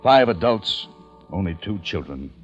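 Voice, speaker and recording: An actor playing a weary, resolute leader of plague survivors, speaking gravely and deliberately in old-time radio style. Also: male voice, low-pitched, sing-song, lo-fi sound. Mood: solemn narrating. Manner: grave, deliberate, solemn delivery. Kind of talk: old-time radio drama acting and narration. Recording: vintage radio drama with music behind.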